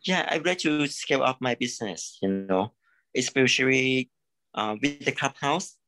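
A man from Thailand, a creative consultant, speaking in a halting way, repeating words and saying "you know" often. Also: mid-range voice, expressive, poor audio. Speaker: coaching client, creative consultant. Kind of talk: live coaching session.

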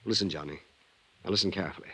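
A man's voice: deep voice, racing, pushed and bright, breathy edge, full character voice. An actor playing a troubled, wistful insurance man in a 1950s radio drama, earnest, hesitant and circling his point. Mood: earnest, grave. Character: rambling, troubled male insurance man, wistful old family friend. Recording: vintage 1950s radio recording.

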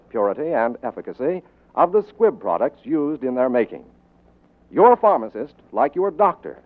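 A man announces in the formal, polished style of 1940s radio. His fairly high voice moves widely in pitch as he reads scripted copy. The sound is thin and tinny, like an old broadcast.

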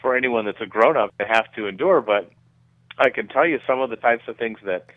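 A middle-aged man giving measured, conversational explanations as a guest in a radio interview, often repeating words as he goes. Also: medium pitch, no echo, phone-quality sound. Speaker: guest researcher being interviewed.

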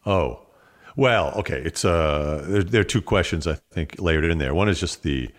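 A man's voice: sits low, lively intonation, moderate pace. A man talking as an interview guest, reflective and wry in a conversational way. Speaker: guest, actor and standup comedian.

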